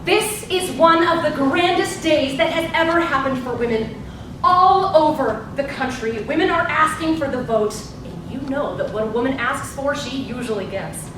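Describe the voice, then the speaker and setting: A woman's voice: high voice, moderate pace, natural voice. A woman playing a stirring, resolute suffragist orator giving a rally speech in a staged play. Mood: rousing, resolute. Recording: echoey hall from far off, noisy ambience.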